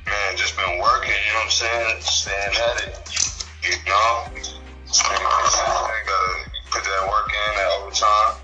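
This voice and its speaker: A relaxed young man from California talks easily about himself and his music, his voice sitting low. The room is echoey, and music plays clearly behind him. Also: unhurried. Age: 20s. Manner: laid-back, relaxed, leans on "you know what I'm saying". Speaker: guest musician. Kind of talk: casual interview chat.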